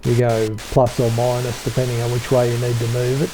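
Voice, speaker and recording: A man giving an unscripted software tutorial, talking in a low-key, hesitant way as if thinking aloud. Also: completely flat delivery, fairly quick, music in the background, low-quality recording. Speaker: tutorial presenter, radio hobbyist.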